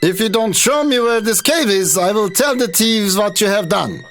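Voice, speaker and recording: A man voicing scheming, greedy men and thieves in a children's fairy-tale cartoon, theatrical and demanding, with a creaky voice. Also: high-pitched, expressive, normal speed, fairly bright tone, faint music behind. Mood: threatening.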